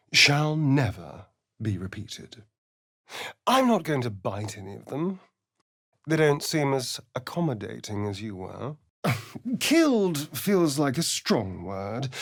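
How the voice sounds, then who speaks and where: Casting: sardonic, flirtatious vampire companion for a fantasy game; breathy, unhurried delivery, highly animated pitch. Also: male voice, exaggerated voice. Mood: flirtatious, smug. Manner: arch, flirtatious, swooping from purrs to exclamations. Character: preening, sardonic male vampire spawn companion. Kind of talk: theatrical fantasy video game dialogue.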